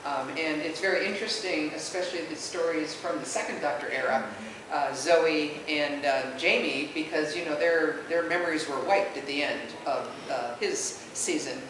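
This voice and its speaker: A very echoey room with clear noise surrounds this woman. She co-hosts a fan panel, speaking informally and hesitantly as she searches for her words. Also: low voice, normal speed. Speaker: convention panel co-host. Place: convention panel room, indoors.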